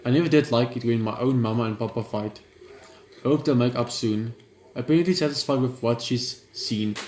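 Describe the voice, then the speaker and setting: A man's voice: medium pitch, darkish tone, roomy sound, a little hiss or hum. A man reading a horror visual novel aloud and commenting as he plays, in a plain way with stumbles over words. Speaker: gaming YouTuber.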